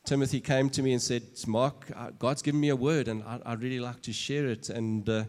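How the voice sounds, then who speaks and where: An earnest pastor, a man with a voice of middling pitch, introduces a guest speaker and leads a fervent prayer. His words ring out with a long reverb through the church hall. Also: steady tone, brisk, darkish tone.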